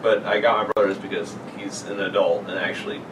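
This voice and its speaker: A man's voice: low voice, steady tone, a little fast. A young man in casual, joking and sarcastic banter as a podcast co-host. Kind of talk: casual banter and creepypasta reading. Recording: noisy room, distant mic.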